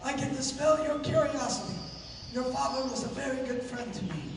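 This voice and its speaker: A man's voice: extremely high pitch, a little fast. A man playing a dignified, grave Mayan king who speaks formally, in a 1930s-style radio play. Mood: solemn welcome. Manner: formal, stately. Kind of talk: 1930s radio adventure acting. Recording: noisy, very echoey hall, distant mic.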